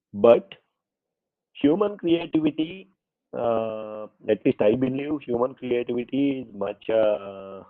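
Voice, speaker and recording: A man with an Indian English accent giving an online workshop lecture, a little under the weather with a cold, hesitant with many fillers and politely calling his listeners sir. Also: mid-range voice. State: voice affected by fever and cold. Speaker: presenter at an online faculty workshop. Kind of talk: lecture presentation with Q&A. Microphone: online meeting audio. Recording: tinny phone-like online call audio.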